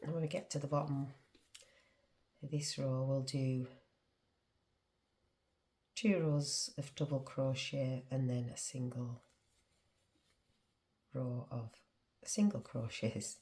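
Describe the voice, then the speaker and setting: A woman's voice: very deep voice, gravelly, warm tone. A woman with a Northern English accent, congested with a cold, casually talking through a crochet demonstration as she works. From England. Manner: chatty, talks to herself while working. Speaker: crochet tutorial host.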